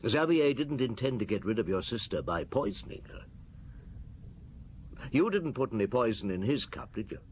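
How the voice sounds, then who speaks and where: An actor playing a shrewd, weary, middle-aged police detective in a radio drama, speaking in a measured, probing, dry and blunt way. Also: male voice, medium pitch, very animated, slight background noise, natural voice. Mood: grave, explaining.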